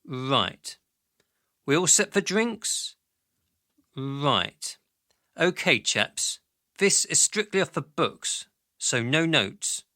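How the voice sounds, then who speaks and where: A brash, domineering male executive in a radio play. His voice is forceful and highish, swinging freely up and down as he breezily runs the room. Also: very animated, extremely slow, pauses often, no room sound, clean studio sound, full character voice. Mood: breezy, brash. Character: brash, crude male pharmaceutical boss chairing a meeting. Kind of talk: radio drama acting.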